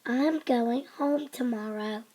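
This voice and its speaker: Storybook girl, acted by a woman: young, cheerful, commanding, high voice, measured delivery. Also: dark tone, poor audio. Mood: bright, confident. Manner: confident, commanding, playful. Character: bold cheerful young girl, full of ideas. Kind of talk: children's storybook character voice.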